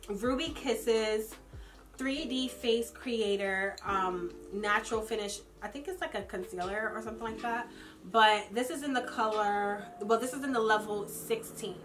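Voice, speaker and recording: A woman's voice: mid-range voice, a bit bright. A young woman losing her voice with a runny nose, chatting casually through a makeup product review as a vlogger. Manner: chatty, filler-heavy, personal opinions. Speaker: beauty vlogger.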